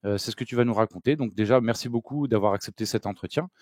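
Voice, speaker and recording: A man's voice: medium pitch, fast, a little mellow, no room sound, studio quality. A man interviewing a guest over a video call, asking several follow-up questions in a row. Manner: asks questions in a string of follow-ups.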